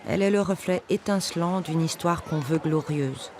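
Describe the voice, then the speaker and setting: Higher-voiced male documentary narrator. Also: normal speed, a little mellow. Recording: busy crowd noise behind.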